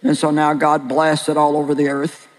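An older woman with a very deep voice preaching a sermon and sharing her own story in an earnest, plain-spoken way with slow, deliberate pauses. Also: level tone, big-room sound, noisy background. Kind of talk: sermon with personal testimony. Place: large hall with an audience.

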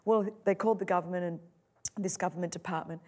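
A woman's voice: on the low side, fairly even intonation, quick pace. Impassioned woman preacher. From Australia. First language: Greek. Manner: impassioned, swings from loud to soft. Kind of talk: sermon testimony.

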